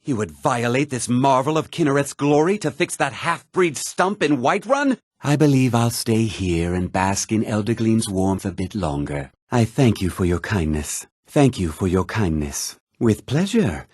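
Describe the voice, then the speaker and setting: A man's voice: mid-range voice, very animated, moderate pace, hardly any pauses, no room sound, studio quality. A man playing a devout, earnest pilgrim who worships nature, speaking florid, theatrical video game dialogue. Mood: appalled, righteous.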